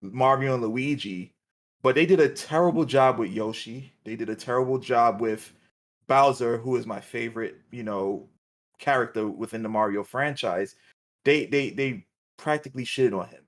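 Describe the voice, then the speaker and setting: A man's voice: medium pitch, normal speed. A man co-hosting a podcast, talking casually and searching for his words as he explains his view. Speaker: podcast co-host. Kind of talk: casual panel discussion.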